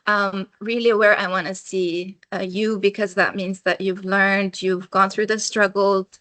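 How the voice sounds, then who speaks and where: Woman educator from Lebanon, bright tone, dry close sound, animated interview answers. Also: mid-range voice, a little slow, no echo. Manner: animated, acts out students' voices. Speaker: educator and doctoral researcher.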